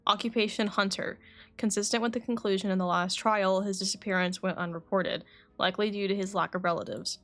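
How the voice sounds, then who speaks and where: A woman's voice: medium pitch, steady tone, a little fast, close to an everyday voice. Terse, detached forensic witness , played by a woman. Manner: clipped, dry, matter-of-fact. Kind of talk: video game voice acting.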